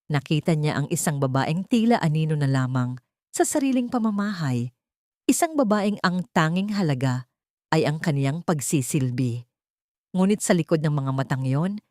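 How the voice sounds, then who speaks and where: A woman's voice: deep voice, darkish tone, no room sound, studio quality. A woman narrating an audio story with a solemn, dramatic delivery.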